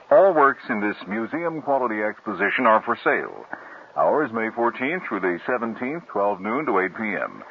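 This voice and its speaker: A man's voice: on the low side, a little hiss or hum. Male radio ad announcer. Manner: brisk promotional. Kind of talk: radio advertisement read. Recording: old lo-fi radio broadcast.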